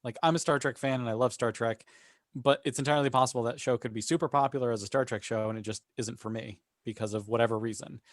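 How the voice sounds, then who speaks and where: Male podcast panelist, average-pitched, informal chat, no room sound at all. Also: steady tone, fast talker, no room sound, studio quality. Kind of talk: casual group chat about TV shows.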